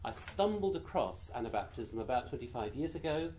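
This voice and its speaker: Soft-spoken British man giving a talk. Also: medium pitch, sing-song, background noise. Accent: British English. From Britain. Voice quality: naturally quiet voice. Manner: gentle, measured, with frequent hesitations. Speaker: guest speaker. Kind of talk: informal talk to a seated group.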